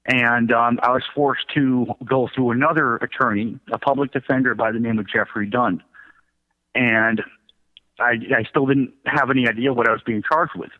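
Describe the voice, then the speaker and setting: A man's voice: mid-range voice, steady tone. Man on a thin phone-like line. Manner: halting, self-correcting. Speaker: interview guest, activist. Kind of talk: recounting own story in radio interview.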